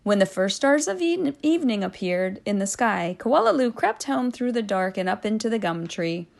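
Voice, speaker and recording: A woman reading a children's storybook aloud expressively, drawing out phrases with dramatic hushes and swells. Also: medium pitch, very animated, moderate pace, gravelly. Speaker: storybook reader.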